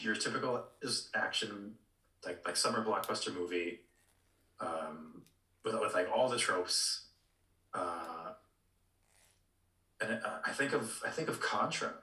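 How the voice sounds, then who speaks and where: Bright-voiced man in an echoey room. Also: fairly low pitch, expressive, a little slow. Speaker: podcast co-host. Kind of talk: casual discussion. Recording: roomy echo, distant from microphone.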